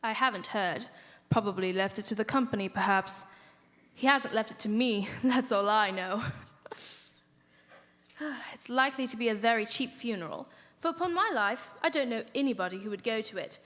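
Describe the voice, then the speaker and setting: A woman's voice: fairly high pitch, moderate pace, fairly bright tone, lightly acted. A woman playing an indifferent, gossiping business acquaintance, offhand and dryly amused, in live radio theater. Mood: wryly amused. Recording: reverberant hall.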